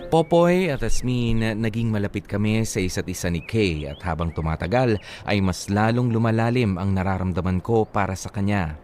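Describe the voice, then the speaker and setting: A man's voice: on the low side, normal speed, music in the background. A Filipino man co-hosting a radio love-story show, talking and reading casually. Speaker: radio DJ co-host.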